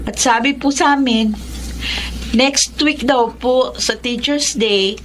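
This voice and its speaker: Earnest young female student, polite, highish voice, slow delivery, radio drama, faint ambience. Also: slight background noise. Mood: proud, earnest. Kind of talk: Filipino radio drama acting.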